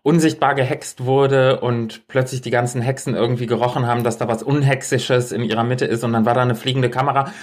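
A man's voice: medium pitch, fairly even intonation, fairly quick, few pauses, darkish tone. Chatty male podcast co-host. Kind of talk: casual humorous podcast chat.

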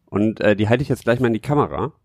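A man co-hosting a podcast in an informal, halting way, searching for his words as he chats. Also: on the low side, animated, no room sound, clean studio sound. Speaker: podcast co-host. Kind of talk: casual chat.

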